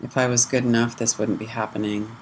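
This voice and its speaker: Someone guiding a meditation, pretty flat even voice, lots of pauses, faint hum behind. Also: medium pitch, poor audio. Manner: measured, pausing between short phrases. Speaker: guided meditation teacher. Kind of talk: guided mindfulness meditation, slow gentle instruction.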